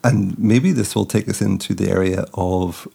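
A man's voice: low-pitched, fairly even intonation, moderate pace, gravelly, crowd noise. A middle-aged man hosting a casual interview, rambling and thinking aloud with many restarts. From not from the Republic of Ireland. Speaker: podcast host.

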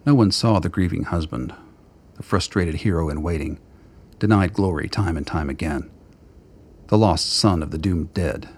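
A man narrating a scripted radio drama in a measured, literary storytelling manner. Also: sits low, a little mellow, husky.

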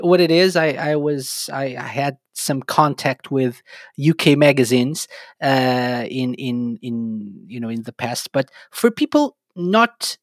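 A man from Portugal hosting an interview, speaking hesitantly with frequent pauses and restarts. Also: mid-range voice, no room sound, clean studio sound. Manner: hesitant, many "uh" fillers and restarts. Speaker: podcast host. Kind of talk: casual interview chat.

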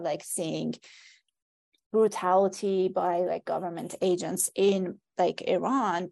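A woman whose first language is Persian talks about her work as a guest author. Her voice sits low and carries a gravelly fry. She keeps checking in with "right?" and fills her pauses with "like" as she reasons through ideas. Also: mellow. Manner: reflective, frequent "like" and "right?" fillers. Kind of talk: academic conversation.

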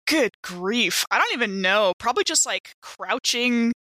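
A woman's voice: on the high side, animated, unhurried, bright, forceful tone, no echo. A woman playing a tabletop game, mixing casual chat with in-character roleplay.

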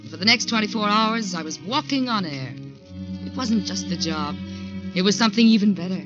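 A woman's voice: mid-range voice, animated, fairly quick, lightly acted. An actress with an American accent playing an anxious young career woman in a dramatic 1940s radio thriller. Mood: wistful, confiding. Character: anxious young career woman narrating her own haunting. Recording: dull 1940s radio recording with music.

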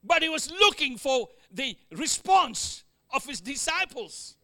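A man with a Fijian accent preaching a sermon, calling out amens to the congregation, mixing joking asides with exhortation and swinging from loud to quiet. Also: very high-pitched, sing-song, measured pace. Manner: exhorting, with frequent amens and call-outs to the congregation.

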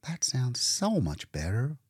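A prim, fastidious woman voiced by a male narrator gently corrects others in an audiobook. The delivery is careful and lilting, with the words recorded dry. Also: mid-range voice, huge pitch swings, slightly rough, no room sound, voice pushed up, full character voice. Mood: prim, disapproving. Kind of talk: audiobook character dialogue.

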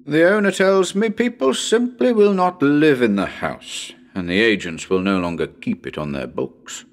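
A man reading as a genial, well-spoken radio presenter of a ghost hunt, with a measured, wry and formal manner. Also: lowish voice. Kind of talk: dramatic reading as live radio broadcast.

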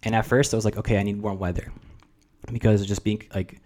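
A young man with a fairly low voice speaks in a relaxed, meandering way. He is a guest on a podcast, full of "like" and "um" as he thinks aloud about life. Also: quick pace. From New Jersey. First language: Russian. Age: 20s. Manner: reflective, rambling, filler-heavy. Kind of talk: casual chat.

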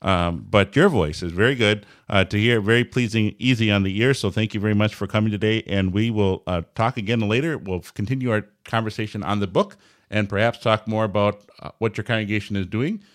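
A man hosting a casual religious podcast, talking in a halting way with many fillers and restarts. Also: on the low side. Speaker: podcast host.

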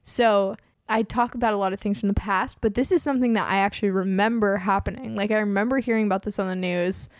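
A woman's voice: mid-range voice, fairly even intonation, quick pace, few pauses, dry recording, studio quality. A woman in her twenties hosting a podcast in casual chat, chatty and full of "like", her voice a bit worn as she is losing it. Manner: chatty, peppers speech with "like". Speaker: podcast host.

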